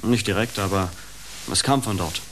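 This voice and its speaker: An actor playing a polite, deferential young small-time dealer in a naturalistic radio play. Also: male voice, fairly low pitch, normal speed, a bit bright, a little hiss or hum, lightly acted, poor audio. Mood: businesslike.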